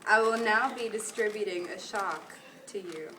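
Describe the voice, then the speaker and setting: A woman voicing an earnest experiment participant who administers shocks in an amateur puppet show, in a measured scripted delivery. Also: medium pitch, bright, forceful tone, recorded from a distance, lightly acted, low-quality recording. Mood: polite, apologetic. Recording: clear background noise.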